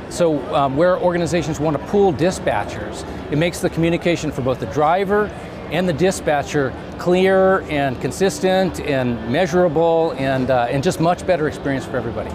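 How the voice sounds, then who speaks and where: A man in his fifties explaining things conversationally as an interview guest, in a measured, halting way with pauses. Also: a little high. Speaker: company CEO, interview guest. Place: trade show booth set, indoors. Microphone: lapel mic. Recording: clear background noise of busy trade show.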